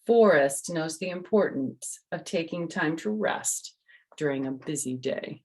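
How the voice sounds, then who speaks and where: A woman's voice: low voice, lively intonation, measured pace, darkish tone, low-quality recording. An older woman reading a children's picture book aloud, speaking to young listeners and adding chatty personal asides.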